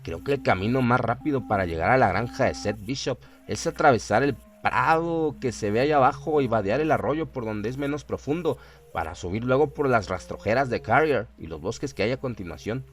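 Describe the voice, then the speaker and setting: A man playing a soft-spoken, helpful country villager in a horror radio drama. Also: mid-range voice, expressive, a little fast, lightly acted. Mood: calm, helpful. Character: soft-spoken rustic male villager giving directions.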